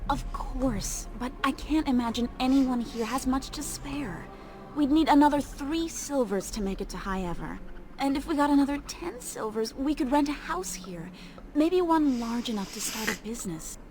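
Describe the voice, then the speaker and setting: An actress voicing an earnest, humble young elf daughter in a fantasy video game. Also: female voice, high-pitched, expressive, moderate pace, over music. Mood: wistful. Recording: clear background noise.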